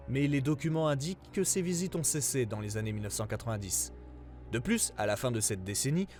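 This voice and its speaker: A man playing a government investigator who reads out a formal case report in a serious, measured way, as scripted narration. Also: a little high, a little mellow, close to an everyday voice.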